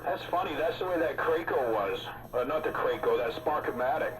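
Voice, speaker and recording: A man's voice: mid-range voice, expressive, brisk, low-quality recording. A man chatting casually as a regular on a radio hobby stream, talkative and joking in a folksy way. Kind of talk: casual chat.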